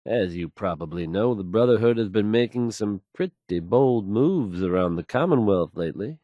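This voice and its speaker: Assured, low-voiced radio news DJ. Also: male voice, lively intonation, dry recording, lo-fi sound. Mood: grave, cautioning. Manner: assured, measured, folksy announcer delivery. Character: confident smooth male radio news DJ in a post-apocalyptic city. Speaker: radio DJ and newsreader. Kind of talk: scripted radio news bulletin.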